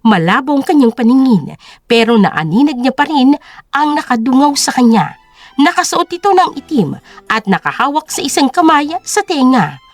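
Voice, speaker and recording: Casting: female narrator, high register, very animated intonation, scripted melodrama storytelling. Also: normal speed, no room sound. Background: music.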